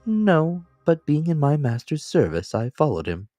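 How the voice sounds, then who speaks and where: An imperturbable young manservant from an adventure audiobook, voiced by a man. He is deferential and formally courteous, pitched up in a light register. The sound is dry, with faint music underneath. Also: sing-song, moderate pace, mellow, dry recording. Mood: calm, deferential. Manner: polite, formal, addresses master in third person. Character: young manservant, unflappably calm and deferential at sea. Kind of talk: dramatic audiobook character reading.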